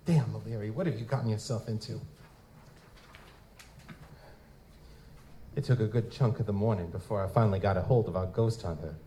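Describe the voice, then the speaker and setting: An actor playing a world-weary, cynical private investigator in a live noir audio drama, wry and slangy in manner. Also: male voice, lowish voice, fast, mellow, close to an everyday voice. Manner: wry, slangy, hard-boiled. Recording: live convention performance, clear background noise.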